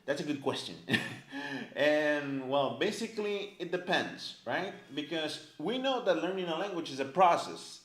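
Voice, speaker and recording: A man's voice: a little high, measured pace. A man teaching a language in a casual, chatty way to camera, filling his talk with "right?" and "like". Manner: animated, chatty, many fillers like "right?" and "like". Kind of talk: casual explanatory lesson to camera. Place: indoor room, filmed by a camera operator. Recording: echoey room.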